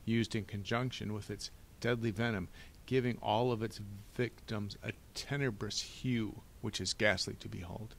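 A man's voice: lowish voice, fairly even intonation, normal speed, creaky, slight background noise. A man voicing an earnest, bookish young boy in a storybook, emphatic and precise in his speech.

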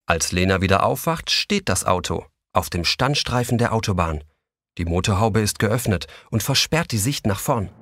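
A man's voice: lowish voice, animated, normal speed, fairly bright tone. A man narrating a scripted children's story as the narrator of an audio drama.

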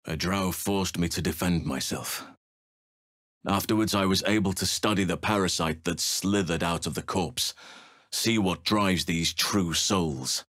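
An actor playing an earnest, battle-seasoned druid and protector of nature in a fantasy video game, speaking in a measured, solemn manner with formal, old-fashioned phrasing. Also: male voice, sits low, fairly bright tone, dry recording, studio quality. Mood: low, uneasy.

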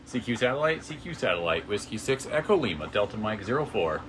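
A man's voice: on the low side, big pitch swings, quick pace. A man working as an amateur radio operator, making clipped contact calls and spelling out call signs in the phonetic alphabet. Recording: very noisy radio static, clicks. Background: loud radio hiss and clicks.

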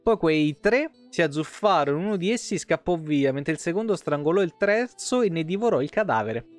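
Higher-voiced man streaming over soft music. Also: animated, normal speed. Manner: informal, breaks off to comment. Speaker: video game streamer. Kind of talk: reading game lore aloud with casual live-stream asides. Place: indoor home streaming setup. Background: soft plucked guitar game music.

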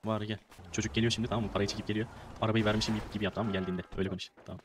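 A man's voice: lowish voice, level tone, very fast, over music. A man improvising roleplay banter in an online game, repeating short phrases insistently. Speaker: streamer playing a fake car dealer.

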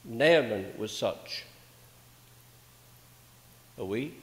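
An older man delivers a sermon at an unhurried, deliberate pace. His phrasing is rhetorical, with weighty pauses and repetition, and his mid-pitched voice rings through an echoey church with long reverb. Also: lively intonation. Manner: measured, rhetorical, with emphatic pauses and repeated phrases. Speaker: preacher. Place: indoors, small church at a pulpit.

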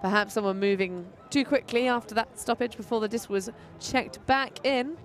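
A woman giving relaxed, playful live play-by-play commentary at an outdoor tournament. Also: a little high, moderate pace.

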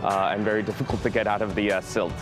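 A man in his thirties answering interview questions on a sunny beach in a relaxed, matter-of-fact way with a few ums. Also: lowish voice, quick pace, music in the background. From United States. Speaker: interviewee, scuba diver.

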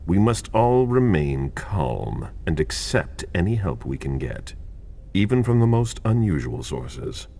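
A stern old blue-blooded vampire in a game drama. His voice is extremely low and gravelly, rising and falling expressively as he speaks with formal, measured dignity. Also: big pitch swings, dark tone, heavily acted. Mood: measured, solemn. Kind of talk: dramatic game cutscene acting.

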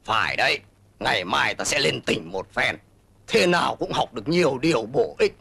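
An actor playing a blustering, gullible old bully of a wolf in a cartoon, boastful and theatrical. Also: male voice, medium pitch, expressive, normal speed, creaky, pushed and bright, slightly rough, no echo, exaggerated voice. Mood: pleased, pondering. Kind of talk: cartoon voice acting. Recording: music behind the voice.

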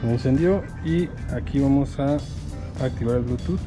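A man's voice: mid-range voice, moderate pace. A man with a Mexican accent giving a casual, slangy hands-on tutorial as a motorcycle vlogger. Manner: informal, slangy. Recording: loud music behind the voice.

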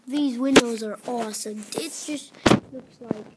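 A woman who is sick and sounds raspy, hosting a casual home review vlog indoors, talking hesitantly with many restarts into a phone held close. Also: on the high side, a little slow, heavy background noise. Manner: hesitant, restarts often, quiet and mumbly at times. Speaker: channel host. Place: indoor carpeted room at home.

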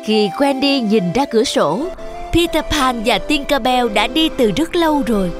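A woman's voice: high voice, animated, moderate pace, over music. A woman reading scripted fairy tale narration for children.

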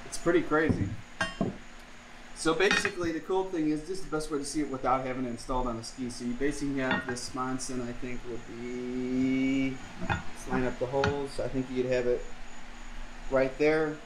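A man's voice: medium pitch, steady tone, normal speed, echoey room, very noisy. A man casually explaining a jet ski part swap, thinking aloud with lots of fillers, recorded on a camera in his home garage. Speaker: vlogger. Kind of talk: casual how-to vlog.

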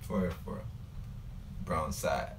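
A man's voice: rumbling low, unhurried. A young man hosting a casual reaction video in a small room, talking in slangy, repeated catchphrases. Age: young adult. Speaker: music reaction video host. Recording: clearly noisy home recording.

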